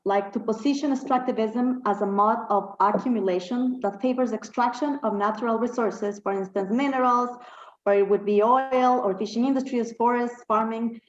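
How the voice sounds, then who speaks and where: A woman from Ecuador speaks as a health activist in a recorded statement, pausing often and searching for her words. Her voice sits a little high. The sound is dull and lo-fi, with a roomy echo around her. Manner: hesitant, searching for words, many fillers. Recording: echoey, dull lo-fi room recording.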